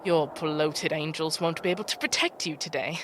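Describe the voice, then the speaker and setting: A woman's voice: low voice, moderate pace, fairly bright tone, slight background noise. A woman playing an ancient, proud female demon chieftain, fierce and vengeful, speaking with stern formality in a fantasy audio drama. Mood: defiant.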